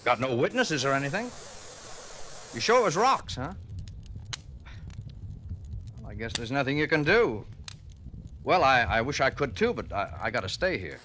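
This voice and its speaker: An actor playing a genial but fretful middle-aged diner owner in old radio drama style, chatty and folksy, hesitant when flustered. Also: male voice, mid-range voice, big pitch swings, normal speed, pauses often, bright, forceful tone. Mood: flustered, worried. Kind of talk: 1950s radio drama acting. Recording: hissy old radio recording.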